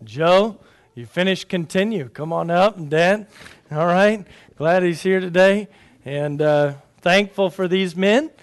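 A man's voice: high voice, takes it slowly, clear, resonant tone. A man leading his congregation as pastor, praying and making announcements in an earnest tone. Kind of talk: pulpit prayer and announcements.

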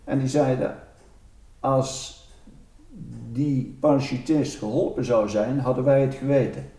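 An older man giving a spontaneous interview account as a researcher, halting as he searches for words. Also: mid-range voice, warm tone, recorded from a distance. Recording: roomy, away from the mic.

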